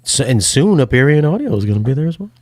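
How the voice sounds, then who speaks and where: A man's voice: mid-range voice, big pitch swings, quick pace, a little mellow, no room sound. A man hosting a casual chat about audio gear, talking informally and thinking aloud. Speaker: host and speaker reviewer.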